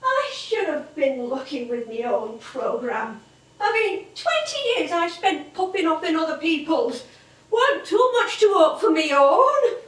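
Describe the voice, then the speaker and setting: A woman's voice: extremely high pitch, big pitch swings, unhurried, a bit bright, heavily acted. A woman playing an ageing English music hall actress, proud, wistful and wounded, in an emotive theatrical style. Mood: indignant. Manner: emotive, dramatic, reminiscing. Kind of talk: theatrical stage monologue and dialogue. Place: theatre stage, echoing. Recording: roomy theatre stage, distant microphone.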